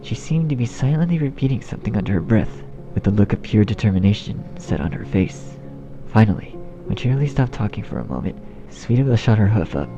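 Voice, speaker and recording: A man's voice: lowish voice, expressive, brisk. A man reading scripted story narration as the narrator. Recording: dull, muffled sound under music. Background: music.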